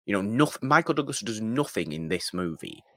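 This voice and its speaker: A man co-hosting a film podcast, chatting casually and haltingly, repeating and rephrasing his points for emphasis. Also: lowish voice, sing-song, no echo, clean studio sound.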